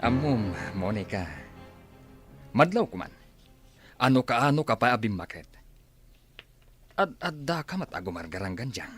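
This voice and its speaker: A suspicious Ilocano husband in a radio drama. He grumbles and needles in a medium-pitched voice that swings animatedly, with music playing behind him. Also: very animated, moderate pace, pauses often, a bit bright. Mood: suspicious, irritated. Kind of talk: Filipino radio drama acting.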